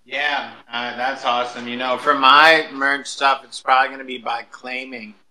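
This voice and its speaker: Guy hosting a casual live chat, super bright forceful voice, pretty flat pitch, informal. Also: moderate pace, a little hiss or hum, poor audio. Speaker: host.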